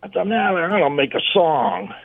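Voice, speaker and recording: Gruff older man on a tinny phone line. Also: a little high, very animated, brisk. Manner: rambling, folksy, chuckling. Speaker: caller. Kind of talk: casual phone-in chat. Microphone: telephone.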